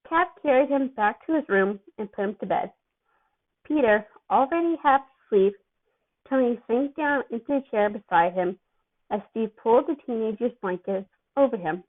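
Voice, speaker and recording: A woman giving an expressive amateur reading of a story as its narrator. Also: mid-range voice. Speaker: amateur fan fiction reader. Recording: thin phone-like sound.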